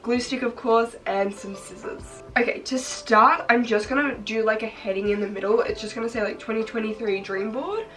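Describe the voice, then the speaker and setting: A teenage girl, her voice hoarse and congested, chatting upbeat with lots of "like" fillers as she makes a craft project on her bed. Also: fairly high pitch, moderate pace, few pauses, fairly bright tone, recorded from a distance, faint music behind, poor audio. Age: teens. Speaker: vlogger. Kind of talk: casual chat while crafting. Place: bedroom, sitting on her bed.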